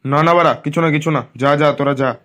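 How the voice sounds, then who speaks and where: A man's voice: a little high, steady tone, racing, fairly bright tone, close to an everyday voice. A man voicing a grumpy, foul-mouthed older village father in a comic cartoon. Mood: irritated. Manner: grumbling, crude.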